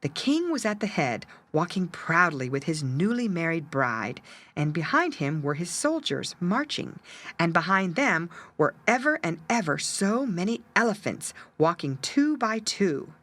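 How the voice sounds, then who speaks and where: A woman reads a children's tale with expressive, dramatic emphasis. Her voice sits a little low but moves widely in pitch, and its tone is bright and forward. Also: unhurried. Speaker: storyteller. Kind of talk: animated children's story narration.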